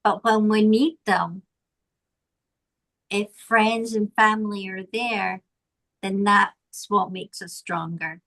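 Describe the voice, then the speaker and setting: A woman guest speaks slowly in a measured, earnest tone, with a mid-range voice. She takes her time over each point as she talks. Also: mid-range voice, steady tone, a bit bright. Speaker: podcast guest, intuitive artist. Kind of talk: casual podcast conversation.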